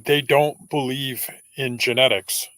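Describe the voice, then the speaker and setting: A man's voice: medium pitch, steady tone, takes it slowly, pushed and bright, dry recording. A man hosting a casual chat, mostly giving brief agreeing replies.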